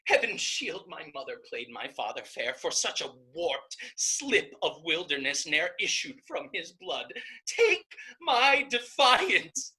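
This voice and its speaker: A woman's voice: deep voice, sing-song, slow, pushed and bright, heavily acted. A woman playing a fierce, virtuous young novice sister in a staged Shakespeare reading, emphatic and declamatory. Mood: outraged.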